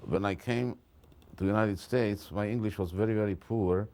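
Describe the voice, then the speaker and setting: Deep-voiced man with a Czech accent. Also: fairly even intonation, normal speed, darkish tone. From Czech Republic. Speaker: film director. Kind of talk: retrospective interview.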